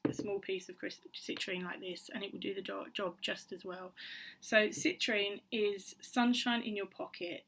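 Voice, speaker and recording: A woman vlogger, slightly hoarse from a cold, chatting casually to camera with many ums and restarts. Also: medium pitch, fairly bright tone, slight background noise, rough recording. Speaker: vlogger and author.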